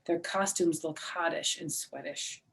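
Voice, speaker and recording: A woman voicing an excitable, indignant little girl with a blunt, bratty, emphatic way of talking. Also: on the low side, measured pace, creaky, slight background noise. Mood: defiant. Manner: emphatic, bratty, childlike phrasing.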